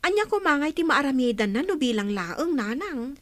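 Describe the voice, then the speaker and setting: A woman's voice: sits high, sing-song, moderate pace, a bit bright, dry recording. A woman playing a worried daughter, questioning, in a radio drama. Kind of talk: Ilocano radio drama acting.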